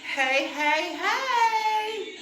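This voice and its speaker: A woman's voice: very high-pitched, lively intonation, very slow, over music. A woman hosting a live podcast in a small studio, bubbly and exclamatory, calling listeners into the room. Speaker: podcast host. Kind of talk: casual live-stream chat. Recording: very echoey room.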